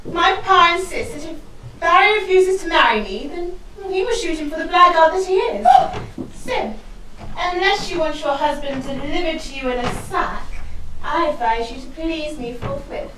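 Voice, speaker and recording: Woman playing very high-pitched wilful young heroine. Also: normal speed. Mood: insistent. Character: whiny, wilful young heroine, foolish lovesick girl. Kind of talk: theatrical period comedy acting. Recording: very echoey room, distant mic, faint noise.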